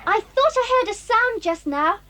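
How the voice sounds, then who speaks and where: A woman's voice: very high voice, animated, moderate pace. An actress playing a playful, girlish English schoolgirl with an earnest manner in a children's audio drama. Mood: mock concern. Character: playful English schoolgirl feigning worry over a kitten.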